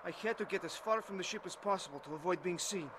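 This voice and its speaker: A man's voice: sits high, fast talker, noisy background, natural voice. An actor playing an earnest, haunted young Russian defector who tells his story in a dramatized testimony. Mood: grave, tense. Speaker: first-person narrator of a dramatized testimony. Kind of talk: dramatized scripted narration.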